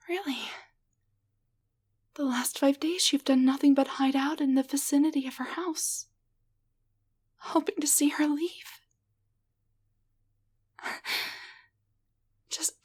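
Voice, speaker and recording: A woman voicing a timid, grateful tiny enchanted doll in an intimate roleplay audio drama, speaking softly, gently and haltingly with a breathy voice. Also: sits high. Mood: moved, self-doubting. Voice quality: soft, breathy.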